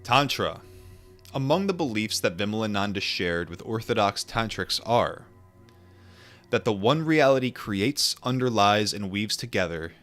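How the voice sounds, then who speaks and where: Male streamer, lower register, informal and musing, shifting between book reading and reflective chat, light music bed. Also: fairly bright tone. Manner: musing, informal, with pauses and repetitions. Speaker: streamer reading a book aloud and chatting with viewers. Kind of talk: book read-aloud mixed with casual reflective chat.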